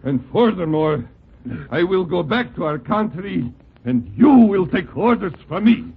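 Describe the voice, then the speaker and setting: Stubborn young boxer king, foreign-sounding stilted delivery, real slow, thin noisy old radio sound. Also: high voice, expressive, vocal fry, breathy edge, exaggerated voice. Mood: stern, commanding. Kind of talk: 1940s radio acting. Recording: tinny, noisy 1940s radio recording.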